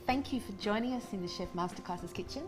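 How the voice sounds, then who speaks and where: A woman's voice: mid-range voice, fast talker, music in the background. A woman interviewing a chef in a light, conversational way. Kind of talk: friendly interview questions.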